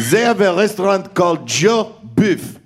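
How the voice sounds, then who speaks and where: A man's voice: high-pitched, sing-song, measured pace, a little hiss or hum, heavily acted. A man playing a brash Brooklyn man who puts on a fake French accent and keeps asking "how you say". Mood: smug. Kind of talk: improv comedy bit.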